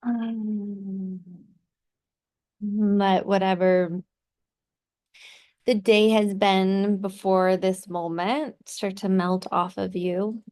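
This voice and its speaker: A woman leading a guided meditation in a soothing, gently instructive way, pausing between short phrases. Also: mid-range voice, steady tone.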